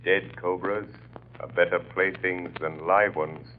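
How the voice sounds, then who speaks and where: An actor playing a mysterious invisible crime-fighter, calm and commanding, in 1940s radio style. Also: male voice, sits low, slight background noise. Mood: calm, taunting. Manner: slow, commanding, taunting. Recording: tinny, band-limited old radio recording.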